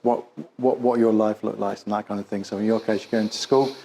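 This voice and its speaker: Male clinical instructor, mellow and low, questions and explains with frequent restarts, slight noise behind. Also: fairly even intonation, fairly quick, creaky. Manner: hesitant, often repeats words and rephrases. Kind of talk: case history questioning and explanatory teaching.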